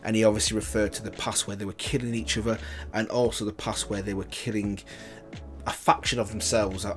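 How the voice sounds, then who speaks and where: A man hosting a reaction video, giving casual review commentary in a rambling way, often rephrasing himself. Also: lowish voice.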